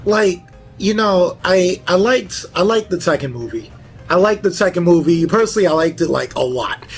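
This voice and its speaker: High-voiced man chatting casually. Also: expressive, moderate pace, soft background music. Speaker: panelist, video game fan. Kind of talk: casual chat.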